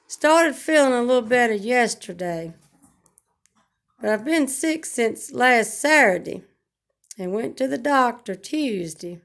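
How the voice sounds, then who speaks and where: Hoarse high-voiced woman, slow. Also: animated, a bit bright. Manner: slow, homespun, rambling talk with regional grammar. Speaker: vlogger. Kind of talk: casual home vlog chat. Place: at home.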